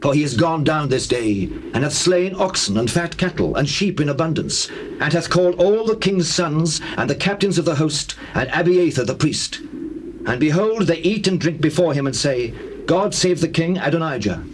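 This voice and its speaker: An actor playing a grave, indignant prophet in a dramatized scripture reading, formal and declamatory. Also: male voice, a little high, expressive, normal speed, a little hiss or hum, natural voice. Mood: indignant, questioning. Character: grave, indignant prophet addressing his king.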